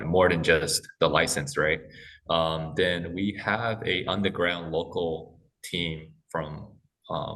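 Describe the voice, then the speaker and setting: A man speaking as a podcast guest, talking haltingly with many restarts and fillers. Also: a bass voice, sharp tone, distant mic. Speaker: guest, corporate development head. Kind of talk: casual podcast interview.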